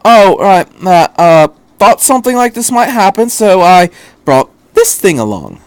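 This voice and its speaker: A man voicing an eccentric, flippant, fast-thinking male adventurer in a story reading, chattering breezily with little hesitations. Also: sits high, sing-song, no room sound, pitched above the performer's own voice. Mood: wry, unbothered. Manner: hesitant filler-laden chatter, breezy understatement.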